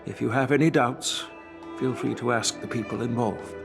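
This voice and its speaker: Man playing aged grandfather swordsmith, game cutscene, measured and grave, mid pitch, soft music under. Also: gravelly, natural voice. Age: older. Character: wise elderly male master swordsmith, grandfather. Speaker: game character. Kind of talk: video game cutscene voice acting.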